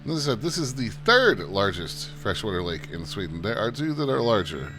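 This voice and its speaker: A low-pitched man talks through a flight sim session in an easygoing, chatty tour-guide manner, joking at his own expense. A constant hum runs beneath the recording. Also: normal speed. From United States. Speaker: flight sim channel host. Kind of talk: casual gameplay commentary. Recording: steady background hum.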